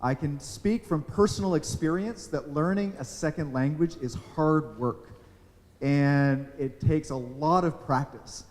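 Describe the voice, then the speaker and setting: A man delivers a formal, earnest speech with a somewhat high voice. His words ring out with a long reverb around him. He speaks as an official addressing an audience. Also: unhurried, darkish tone. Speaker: public official giving a speech.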